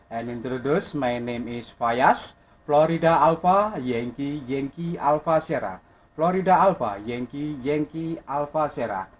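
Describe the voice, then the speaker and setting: A man with an Indonesian accent speaking as an amateur radio operator, friendly and halting, repeating phrases and spelling out callsigns phonetically. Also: mid-range voice.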